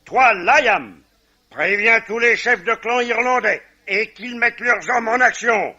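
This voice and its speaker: An actor playing a gruff, middle-aged Irish clan chief, commanding and sarcastic, in 1950s French radio drama style. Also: male voice, very high voice, slow, bright, forceful tone, full character voice. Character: gruff, bossy Irish clan patriarch, hot-headed and scornful. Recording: dull, band-limited old radio recording.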